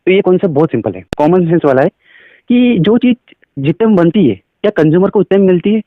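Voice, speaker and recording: A man with an Indian accent and a slightly high voice pitches direct selling on a phone call. He explains step by step and keeps putting questions to the listener, slipping in English phrases. The recording is dry and somewhat muffled. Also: lively intonation, quick pace, no room sound.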